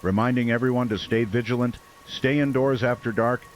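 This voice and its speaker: An actor playing a small-town late-night radio host, measured, serious and a little skeptical. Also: male voice, medium pitch, steady tone, lightly acted, low-quality recording. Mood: grave. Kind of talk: radio drama call-in hosting.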